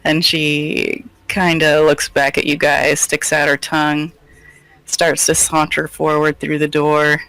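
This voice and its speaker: A man running a tabletop game as game master, narrating scenes in a relaxed, descriptive way. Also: fairly high pitch, slow pace, vocal fry, clear, resonant tone, no room sound, poor audio. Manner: relaxed, descriptive, filler-laden.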